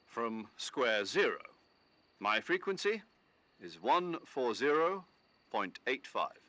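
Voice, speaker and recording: A brisk male military commander for a dramatic game briefing. His voice is crisp-edged and forceful, swinging expressively in pitch as he lays out details with precise authority. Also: sing-song, no room sound, exaggerated voice. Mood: brisk, businesslike. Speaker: mission commander.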